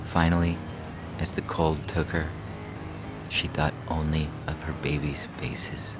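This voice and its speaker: A man with an extremely low, bass voice delivers scripted narration for a science fiction podcast. He reads as a storyteller, with music clearly present underneath his voice. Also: little pitch movement, unhurried. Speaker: podcast host and story reader. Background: background music.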